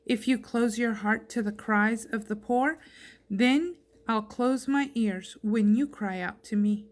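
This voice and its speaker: A woman reading Bible verses aloud in a plainspoken, clearly phrased devotional style. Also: a little high, slow. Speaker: scripture reader.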